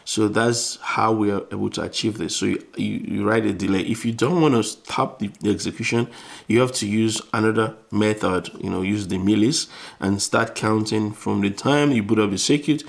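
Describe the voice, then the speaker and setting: Guy with a deep-ish gravelly voice teaching electronics, talks it through step by step, informal. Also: normal speed. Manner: informal, step-by-step, repeats phrases. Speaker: electronics tutor. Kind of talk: explanatory tutorial, thinking aloud while demonstrating.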